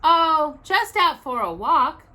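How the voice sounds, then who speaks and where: Woman voicing an earnest, indignant little bear, pitched up. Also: very animated, takes it slowly, bright, forceful tone, exaggerated voice. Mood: determined, vague. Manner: determined, emphatic. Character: small earnest bear, indignant, stubborn and polite.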